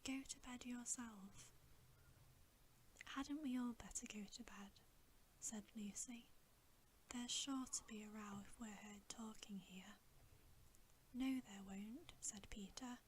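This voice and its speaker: A woman reading a bedtime story in ASMR style, in a hushed, gentle, unhurried whisper. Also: on the high side, a little hiss or hum. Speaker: ASMR reader.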